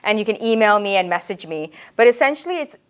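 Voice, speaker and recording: A woman speaks as a guest coach in a casual interview. Her medium-pitched voice is conversational, and she puts things earnestly, with emphasis and encouragement. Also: expressive, quick pace, smooth. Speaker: podcast guest, personal coach.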